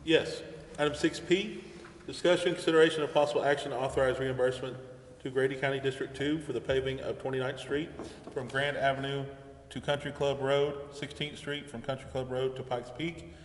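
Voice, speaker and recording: A man's voice: mid-range voice, fairly even intonation, brisk. A man chairing a formal council meeting, reading out agenda items in procedural language. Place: indoor council chamber. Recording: echoey council chamber, faint noise.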